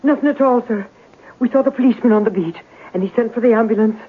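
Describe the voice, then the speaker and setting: An actress playing a flustered, garrulous middle-aged working-class landlady in an old-time radio drama, rambling with exclamations and rough grammar. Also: on the high side, quick pace, slight background noise, natural voice. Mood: dramatic, mournful. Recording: muffled vintage radio broadcast.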